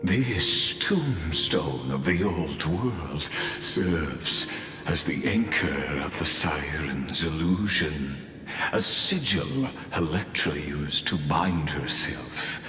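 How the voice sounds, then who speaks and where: Ominous game mastermind, extremely low voice, very slow ceremonious oratory, theatrical, cold, hall reverb. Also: male voice, animated, heavily acted. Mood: grandiose, ominous. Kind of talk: dramatic video game voice acting. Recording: echoey hall reverb under music.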